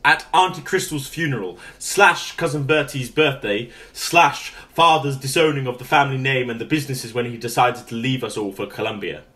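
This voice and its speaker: An actor playing a pompous, inept posh British brother in a radio comedy, grandiose and formal but hesitant. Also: male voice, medium pitch, moderate pace, few pauses, pushed and bright, close to an everyday voice. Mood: pompous, rueful. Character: pompous, inept posh brother running a failing antique shop.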